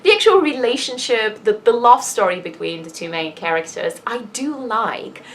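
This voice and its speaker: A woman's voice: fairly high pitch, animated, moderate pace. A woman chatting casually in a personal vlog, candid and self-deprecating, rambling along with emphatic asides. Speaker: vlogger and fiction writer.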